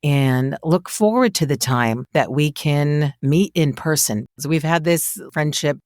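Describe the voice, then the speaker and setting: A woman with a low voice hosts a chatty podcast interview. She speaks effusively and is generous with compliments. The sound is dry, with very little room in it. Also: a little slow, darkish tone, dry recording. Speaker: podcast host.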